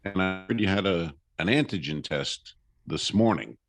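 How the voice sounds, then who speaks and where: A man's voice: sits low, expressive, normal speed, no room sound, clean studio sound, faint music behind. A man co-hosting a comedy podcast from Napa, chatting with hesitant fillers and playful reactions as he searches for words. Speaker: podcast co-host. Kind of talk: casual comedic chat. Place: Napa, California, indoors.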